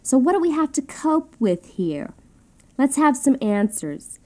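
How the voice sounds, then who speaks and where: Woman acting a mellow seminar coach. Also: high-pitched, sing-song, a little slow. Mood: encouraging coaching. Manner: echoing answers, coaching. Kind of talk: satirical seminar, prompting a group.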